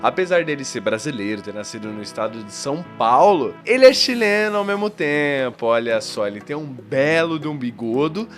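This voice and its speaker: A man's voice: mid-range voice, lively intonation, normal speed, hardly any pauses, faint music behind. A man hosting a podcast, introducing his guest in an upbeat, playful way. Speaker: podcast host.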